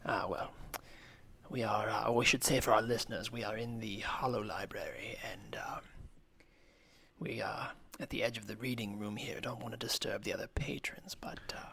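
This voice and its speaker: A man voicing a hesitant, stammering scholar of the future, speaking formally and in hushed tones in an improvised comedy sketch. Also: fairly low pitch, fairly even intonation, moderate pace, slight background noise. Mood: conspiratorial, hesitant. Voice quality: hushed, creaky. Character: stammering male scholar of the future whispering furtively in library.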